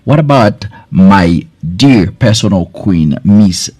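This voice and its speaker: A man's voice: fairly low pitch, lively intonation, slow pace, darkish tone. A man from Haiti, a doctor hosting a live health stream and repeatedly thanking viewers in loose, rambling phrasing. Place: his clinic. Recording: background music playing.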